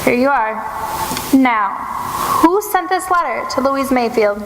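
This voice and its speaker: A woman playing a shrewd, commanding female detective in an old time radio mystery, with deliberate, theatrical delivery. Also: high-pitched, expressive. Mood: brisk, probing. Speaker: stage radio-play actor. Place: theater stage before live broadcast recording. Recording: echoey live theater hall, noisy.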